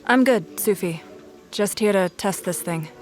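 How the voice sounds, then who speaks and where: An actress playing a guarded, sardonic detective in her thirties, dry and deadpan in naturalistic audio drama dialogue. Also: mid-range voice, a little slow, faint music behind, natural voice. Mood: dry, friendly.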